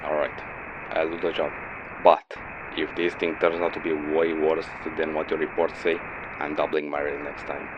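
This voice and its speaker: Male actor as sardonic mercenary, very deep pitch, blunt, grumbling, game audio drama, muffled recording, noisy background. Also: completely flat delivery, normal speed. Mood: resigned. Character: jaded, sardonic male mercenary codenamed Phantom.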